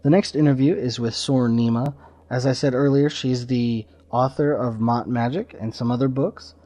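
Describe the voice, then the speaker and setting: A man speaks as the host of an interview podcast. His voice sits at a medium pitch and his phrasing is measured, with small hesitations. The sound is dry, with no room to it. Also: fairly even intonation, mellow, no room sound. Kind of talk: casual interview talk.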